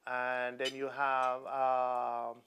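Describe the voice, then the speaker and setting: A Jamaican chef, a man, explaining things slowly and haltingly on a cooking show, with many pauses and ums. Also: medium pitch, completely flat delivery, gravelly, fairly bright tone, slight background noise. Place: studio kitchen.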